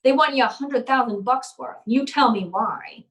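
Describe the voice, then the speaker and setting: A woman's voice: fairly high pitch, moderate pace, lightly acted. A woman playing a tough, terse hardboiled private eye in an old-time radio detective play. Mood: cool, probing. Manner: terse, no-nonsense.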